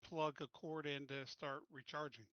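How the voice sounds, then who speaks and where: A man's voice: fairly high pitch, level tone, unhurried, a bit bright, no room sound, lo-fi sound. A man playing in a tabletop game, pressing the game master with direct questions and blunt joking remarks. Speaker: player. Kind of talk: casual tabletop game chat.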